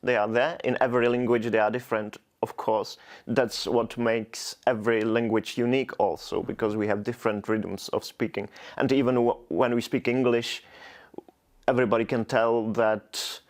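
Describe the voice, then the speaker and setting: A man's voice: mid-range voice, little pitch movement, normal speed. A man with a Czech accent, Czech being his native language, answering as a poet in an interview. He speaks thoughtfully and hesitantly, often stopping to restart words. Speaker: poet, guest.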